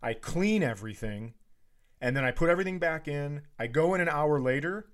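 Dude comedian on a podcast, kinda higher voice, telling stories, fed up and swearing. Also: male voice, lively intonation, fairly quick. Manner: profane, exasperated ranting. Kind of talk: casual comedic storytelling.

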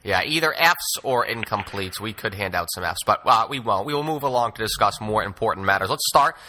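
A man's voice: fairly low pitch, expressive, brisk, pushed and bright, a little hiss or hum. A man hosting a sports podcast, talking basketball conversationally with wordy, wry asides.

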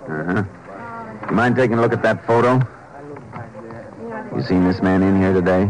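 A man playing a steady, matter-of-fact Texas Ranger in a 1950s western radio drama. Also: deep voice, normal speed, close to an everyday voice, poor audio. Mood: businesslike. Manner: clipped, matter-of-fact questioning. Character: steady, terse Texas Ranger lawman, narrating his own cases. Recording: old 1950s radio recording with music.

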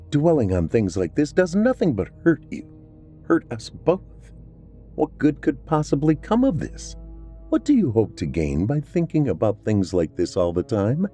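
A man voicing an exasperated woman who scolds her vampire partner, sharp-tongued. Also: medium pitch, pitched above the performer's own voice, natural voice.

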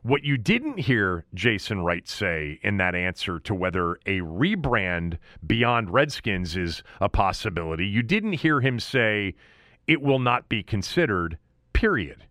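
A man hosting a sports talk show, giving opinionated commentary in deliberate, emphatic phrases with points repeated for weight. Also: fairly low pitch, very animated, fairly bright tone, dry recording, studio quality. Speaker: sports talk podcast host.

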